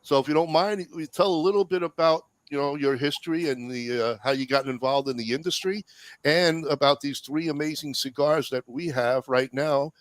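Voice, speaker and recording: Easygoing, fairly high-voiced male host. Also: normal speed, no room sound. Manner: easygoing, with frequent fillers and laughs. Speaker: show host. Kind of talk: casual interview hosting.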